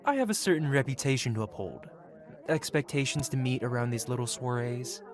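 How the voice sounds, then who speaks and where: A man's voice: medium pitch, expressive, fairly quick, lightly acted. Man playing a suave, courtly dragon patron. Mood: gracious, courtly. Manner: formal, flowery, courteous speech addressed to one listener. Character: possessive, wealthy dragon merchant patron, male, suave and courtly. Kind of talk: ASMR roleplay, intimate romantic fantasy audio drama.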